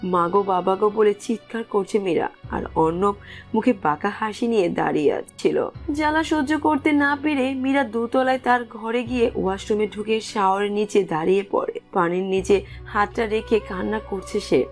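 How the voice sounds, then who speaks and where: A woman's voice: medium pitch, normal speed. A woman reading scripted narration for a romantic story as its narrator. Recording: music under the voice.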